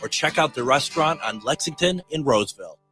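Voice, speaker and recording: A man's voice: medium pitch, expressive, fast, sharp tone, faint music behind. A man, hosting a radio talk show, chatting casually and reading his own ads, restarting often with "uh".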